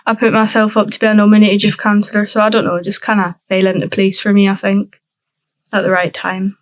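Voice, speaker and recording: A woman's voice: mid-range voice, monotone, a little fast, dry recording. A teenage girl, a youth councillor answering interview questions hesitantly, with many ums. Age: teens.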